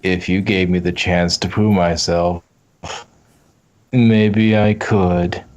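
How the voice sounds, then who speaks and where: A low, slow-paced young male anime ninja, played by a man, stoic and drained of feeling. He delivers each line deadpan and solemn, like an amateur anime dub read. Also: muffled recording. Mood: flat, solemn. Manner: deadpan, emotionless. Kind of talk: amateur anime voice-over reading.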